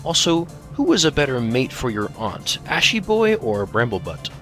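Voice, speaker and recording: A man reading questions as co-host of a fan Q&A in a playful, teasing, animated manner. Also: mid-range voice, moderate pace. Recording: faint music behind the voice.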